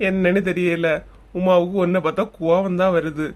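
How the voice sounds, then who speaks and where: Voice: mid-range voice, close to an everyday voice. Actor voicing young village husband, male character, Kanyakumari Tamil accent, easygoing, mildly exasperated, comic cartoon.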